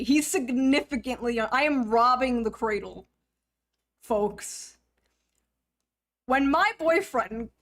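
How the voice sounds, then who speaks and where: A woman in her thirties chatting casually on a livestream, teasing her viewers, swearing freely and laughing often. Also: high voice. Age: 30s. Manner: teasing, profane, quick to laugh. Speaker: streamer.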